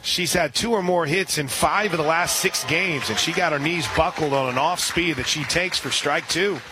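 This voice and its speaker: A man calls a college softball game on the radio, giving counts, stats and sponsor reads in a steady, informative way. His voice sits a little high for a man. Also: normal speed, over music, a crowd in the background. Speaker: radio play-by-play announcer. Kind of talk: radio play-by-play commentary.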